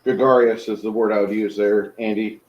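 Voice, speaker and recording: Male game master, lowish voice, wry, echoey room. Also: fairly even intonation, fairly quick, dull audio. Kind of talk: casual chat on a livestream.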